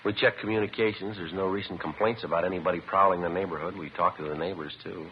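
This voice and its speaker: An actor playing a terse, methodical police detective in a 1950s radio drama, matter-of-fact and understated in both dialogue and narration. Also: male voice, sits low, fairly even intonation, fast talker, lightly acted. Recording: noisy, dull vintage 1950s radio recording.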